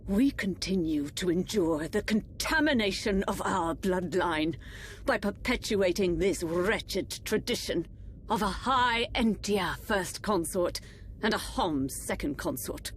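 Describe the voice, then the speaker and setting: An actress playing a scheming, haughty royal consort in a fantasy video game, with a cold, disdainful delivery. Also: female voice, medium pitch, lively intonation, slow pace, a little hiss or hum. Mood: bitter.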